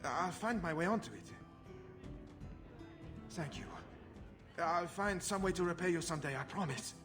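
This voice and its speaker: An actor playing a naive, awkward young runaway in a video game, hesitant and sheepish. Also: male voice, sits high, moderate pace, natural voice. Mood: grateful, hesitant. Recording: game music clearly behind.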